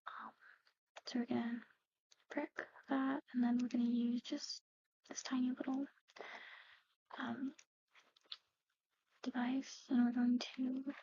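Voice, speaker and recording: A woman performing a whispered ASMR medical roleplay, explaining procedures softly in an unhurried, breathy voice on a slightly muffled recording. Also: a little high. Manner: hushed, unhurried, gently explaining.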